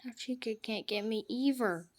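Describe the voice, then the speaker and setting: A woman giving jokey gameplay commentary, trash-talking the game characters and swinging from hushed mutters to sudden loud outbursts. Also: a little high, moderate pace. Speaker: gamer.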